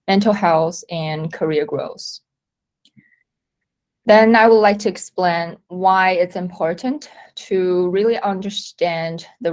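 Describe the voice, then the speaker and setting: A woman in her thirties, originally from Taiwan, giving a measured, reflective presentation at a company event. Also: lowish voice. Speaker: company talk speaker. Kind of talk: prepared presentation talk.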